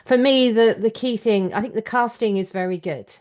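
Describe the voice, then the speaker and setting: A woman's voice: on the high side, moderate pace, a little mellow. A woman hosting a podcast interview, offering her opinions in an articulate, reflective, conversational way. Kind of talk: conversational discussion, opinionated commentary.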